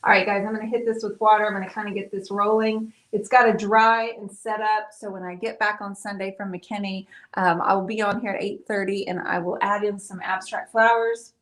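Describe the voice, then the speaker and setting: A middle-aged woman hosting a live painting stream, chatting casually and warmly with viewers, with frequent "um"s. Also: medium pitch, little pitch movement, fairly quick, hardly any pauses. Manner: chatty, friendly, talks to viewers with many fillers.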